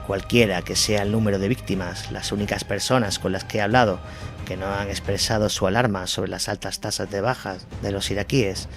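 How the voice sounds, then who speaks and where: A man's voice: on the low side, fairly even intonation, brisk. A man reading a scripted documentary-style war narration. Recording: music bed under voice. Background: music.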